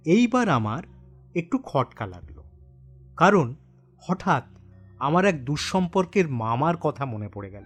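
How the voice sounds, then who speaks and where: Male horror-story narrator, slow and suspenseful, dramatic first-person delivery, lively intonation. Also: on the high side. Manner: suspenseful, deliberate phrasing.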